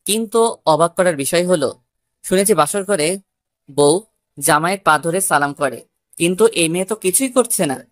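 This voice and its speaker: A woman's voice: lowish voice, lively intonation, moderate pace, long pauses, no room sound, clean studio sound. A woman narrating a scripted first-person love story.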